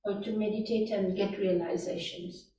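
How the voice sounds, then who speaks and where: A woman from Sri Lanka, a meditation teacher, gently answering audience questions at a workshop. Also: mid-range voice, steady tone, slight background noise. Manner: gentle, unhurried, with frequent "you know" and "right?". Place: community meeting room. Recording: echoey room, picked up from a distance.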